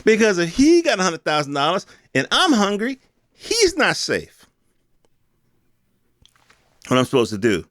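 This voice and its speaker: A man's voice: high-pitched, huge pitch swings, unhurried, pauses often, fairly bright tone, dry recording, studio quality. An American man hosting a podcast, giving unscripted news commentary in an emphatic, colloquial, repetitive way with rhetorical questions. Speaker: podcast host.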